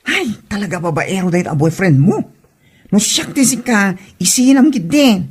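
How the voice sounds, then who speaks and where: A woman's voice: mid-range voice, animated, moderate pace, breathy edge. A woman with an Ilocano Filipino accent playing a nosy, blunt gossiping friend in a comedy audio drama. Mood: gossipy, insistent.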